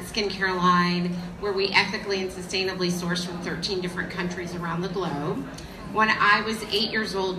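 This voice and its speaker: An American woman telling her story to a live audience on a panel, measured and hesitant at times. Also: lowish voice. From United States. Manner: measured storytelling with frequent ums. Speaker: company founder on a panel. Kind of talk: public panel talk. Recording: echoey room with audience.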